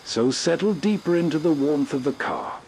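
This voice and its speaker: A man's voice: fairly high pitch, normal speed, darkish tone, slight background noise. A man hosting with scripted, atmospheric late-night radio narration for settling down. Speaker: host.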